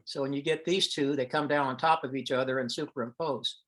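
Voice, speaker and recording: A man's voice: mid-range voice, fairly even intonation, brisk, a bit bright. A man giving an informal technical presentation on a teleconference, explaining step by step and sometimes thinking aloud. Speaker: presenter at an amateur radio science teleconference. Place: online teleconference call.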